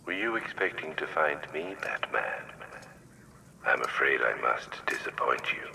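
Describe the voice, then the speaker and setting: Casting: a game supervillain of fear, extremely deep, razor-sharp whispery tone, theatrical and slow. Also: male voice, expressive, a little hiss or hum, full character voice, poor audio. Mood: coldly menacing. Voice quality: gravelly, whispery. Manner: slow, formal, theatrical menace. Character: menacing male supervillain who deals in fear.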